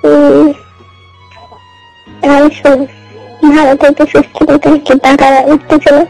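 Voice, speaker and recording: A woman's voice: high-pitched, little pitch movement, normal speed, vocal fry, dark tone. A young woman with a Bangladeshi Bengali accent chatting casually and intimately on a recorded phone call. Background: music.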